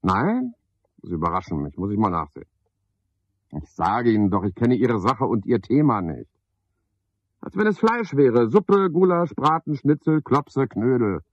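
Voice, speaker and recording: An actor playing a pedantic, misanthropic night porter in a radio drama, a man with a husky, gravelly voice and a pompous, sardonic manner. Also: on the low side, darkish tone, no echo, heavily acted. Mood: brisk, irritated. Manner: pompous, sardonic, ornate wording. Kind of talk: 1970s German radio drama monologue. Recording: dull, dry 1970s radio recording.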